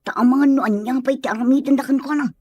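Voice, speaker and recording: A woman's voice: high voice, fast talker, dark tone, husky, no room sound, muffled recording. A woman playing an anxious, protective elder sister, pleading with concern in a Filipino radio drama. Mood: worried.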